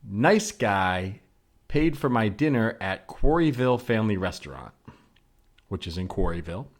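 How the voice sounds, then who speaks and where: Low-voiced man co-hosting a comedy podcast. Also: very animated, normal speed. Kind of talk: casual chat and reading of ads.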